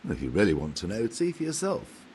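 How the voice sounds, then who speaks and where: A blunt, opinionated older monk in a medieval game. The voice is deep, with hugely theatrical swings in pitch and a grumbling manner. There is clear ambient noise behind it. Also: male voice, huge pitch swings, fairly quick, a little mellow. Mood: tipsy relish. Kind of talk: video game cutscene acting.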